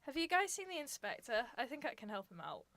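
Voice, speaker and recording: A woman playing a flirtatious, sharp-tongued circus performer in an amateur radio drama, catty and insolent. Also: high voice, sing-song, fast, no room sound, exaggerated voice. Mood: confiding.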